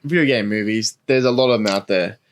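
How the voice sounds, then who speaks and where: Aussie podcast host guy, lowish voice, relaxed wording, chatting with the panel. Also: fast talker, a little hiss or hum. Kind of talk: casual group chat.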